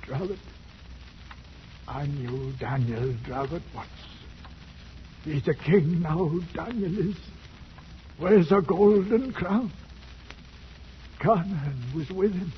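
An actor playing a broken adventurer with a wandering mind, haltingly telling his tale in 1940s radio style. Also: on the high side. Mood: dazed, rambling. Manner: halting, dazed, folksy storytelling. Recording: muffled hissy 1940s radio recording.

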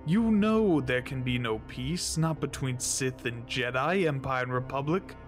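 A man's voice: mid-range voice, expressive, measured pace, soft background music, close to an everyday voice. A man playing a cold, fanatical alien mystic captor who speaks in stilted, formal pronouncements in an audio drama. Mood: cold menace.

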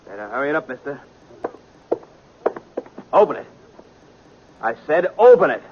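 A man's voice: fairly high pitch, big pitch swings, normal speed. An American man playing a nervy young masked robber in a 1950s radio western. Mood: tense, commanding. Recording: muffled, noisy 1950s radio recording.

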